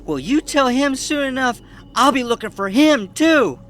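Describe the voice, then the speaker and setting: High-pitched, defiant young country woman. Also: animated, normal speed, a little hiss or hum. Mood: furious, shouting. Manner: defiant, shouting. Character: bold, angry young country woman, sharp-tongued. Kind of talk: audio horror drama acting.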